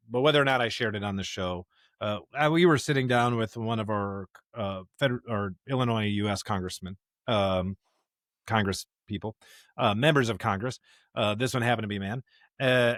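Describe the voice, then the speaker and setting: A man's voice: mid-range voice, a bit bright, dry recording, studio quality. A man co-hosting a podcast, discussing scripture conversationally and emphatically, with stop-start phrasing and rhetorical questions. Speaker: podcast co-host, member of clergy.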